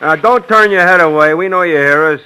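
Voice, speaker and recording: An actor playing a gruff, exasperated jail guard in a vintage radio drama, talking bluntly and colloquially. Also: male voice, fairly high pitch, brisk, pushed and bright, low-quality recording.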